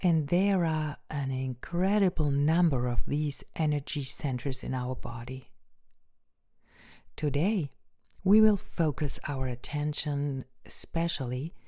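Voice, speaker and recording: A woman introducing a guided meditation as host, speaking in a gentle, breathy, unhurried way with long pauses. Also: low voice.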